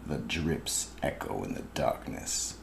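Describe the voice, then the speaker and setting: A man's voice: very deep voice, expressive, takes it slowly, creaky. A man narrating a horror radio drama as announcer in an ominous scripted style, with faint ambient noise behind.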